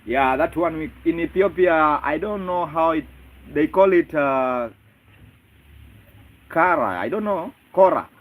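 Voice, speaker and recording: A man hosting a casual, excitable live stream, often repeating his words and calling out greetings. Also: fairly high pitch, a little slow, pauses often, low-quality recording. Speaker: live-stream host and musician.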